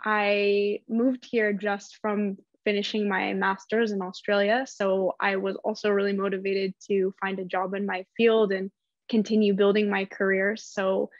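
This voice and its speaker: A woman's voice: medium pitch, little pitch movement, unhurried, no room sound, dull audio. A woman from Northern California introducing herself on a panel, chatty, with lots of ums and self-corrections. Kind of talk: personal introduction.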